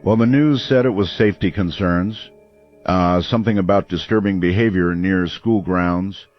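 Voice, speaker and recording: A man playing a calm, measured late-night radio call-in host. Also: low voice, lo-fi sound, soft background music, close to an everyday voice.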